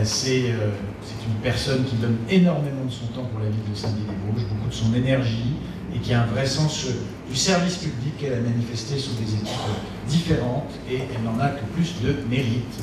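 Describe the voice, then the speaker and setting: A man's voice: mid-range voice, normal speed. A man chairing a town council meeting and making formal remarks. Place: large indoor council meeting room. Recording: echoey hall from far off, loud noise.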